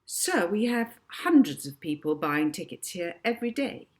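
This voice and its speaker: Woman acting a crisp, officious female ticket clerk. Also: fairly low pitch, big pitch swings, normal speed, a little mellow.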